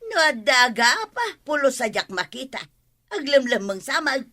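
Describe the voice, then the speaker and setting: A fretful village wife fusses and exclaims in an Ilocano accent. The voice is very high and bright, pitched for radio comedy. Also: female voice, sing-song, normal speed, full character voice.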